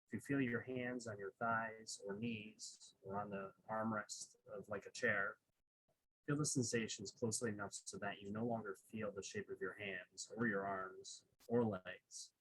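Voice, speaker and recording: A man leading a guided meditation, speaking in unhurried short phrases with pauses between them. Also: on the low side, a bit bright, a little hiss or hum, poor audio.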